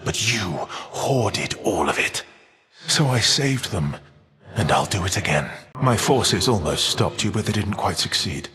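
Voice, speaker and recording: Embittered titan rebel, lowish voice, formal measured diction, proud, video game character, long reverb, crowd noise behind. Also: male voice. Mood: indignant, then confident, taunting.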